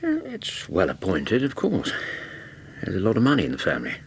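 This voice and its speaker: Courteous English physician for radio play: low, very expressive intonation, reassuring bedside manner, tactful questions. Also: male voice, fairly quick, creaky, husky, heavily acted. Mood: conversational, reporting. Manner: courteous, reassuring, gently probing. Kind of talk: radio drama acting.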